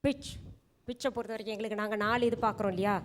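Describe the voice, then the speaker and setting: A woman's voice: fairly high pitch, rapid-fire. A woman giving frank, instructive spoken critique as a singing audition judge.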